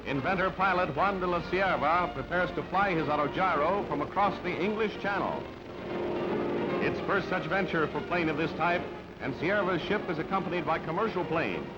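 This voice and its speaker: A male newsreel announcer with a high-set voice delivers clipped, dramatic headline phrases. The narration is scripted and declarative. The sound is dull and lo-fi, with music prominent behind him. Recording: dull band-limited newsreel audio, loud music. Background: loud music.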